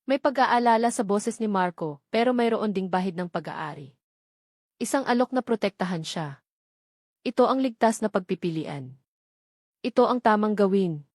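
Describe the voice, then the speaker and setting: Female audiobook narrator for dramatic fiction: medium pitch, measured phrase-by-phrase delivery, very dry sound. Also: expressive, a little mellow, dry recording. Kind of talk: scripted dramatic story narration.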